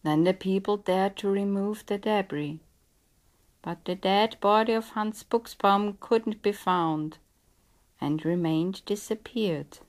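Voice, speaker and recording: A woman reading a scripted legend as a storyteller, in a measured, dramatic storybook style. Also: medium pitch.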